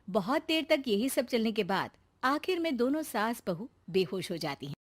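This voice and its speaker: A woman narrating a scripted cartoon story as its storyteller. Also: on the high side.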